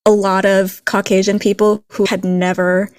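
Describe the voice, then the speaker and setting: A woman's voice: mid-range voice, flat delivery, normal speed, no room sound. Easygoing woman podcast co-host. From Philippines, raised in a Texas border town. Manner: easygoing, reflective, laughs lightly. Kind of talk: casual conversational podcast chat.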